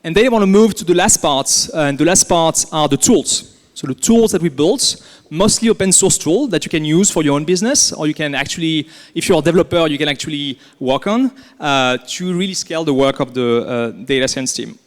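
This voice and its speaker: A man from France with a French accent, giving a casual workshop presentation as a guest speaker, relaxed and full of fillers and repeated words. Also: a little high, a little fast. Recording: echoey hall.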